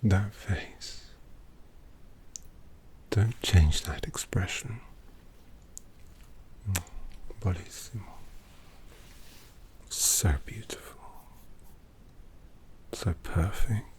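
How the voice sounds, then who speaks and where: A performer in an ASMR roleplay voicing a suave, possessive vampire painter who dotes on a captive in a breathy whisper, slowly and with menacing tenderness, close to the microphone. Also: sits low, a little mellow. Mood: enraptured. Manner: slow, deliberate, indulgent, menacingly tender.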